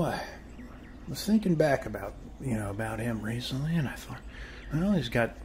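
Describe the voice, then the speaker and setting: A man playing a friendly, rambling middle-aged suburban neighbor in an ASMR role-play, with an American accent and a soft, hesitant, folksy delivery. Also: medium pitch, close to an everyday voice. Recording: faint steady background noise.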